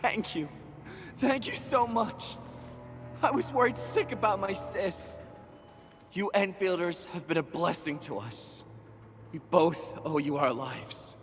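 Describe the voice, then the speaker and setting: Anxious young game guy, high voice, earnest and pleading, echoing hall. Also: slow, pauses often, noisy background. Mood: grateful. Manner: pleading, hesitant. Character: earnest, anxious young man, devoted big brother. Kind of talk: video game voice acting. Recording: long reverb, faint music behind.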